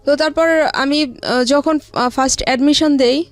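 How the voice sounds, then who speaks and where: A woman recounting her own life story as an interview guest, with halting, hesitant delivery. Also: high-pitched, fairly even intonation. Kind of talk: personal life-story narration.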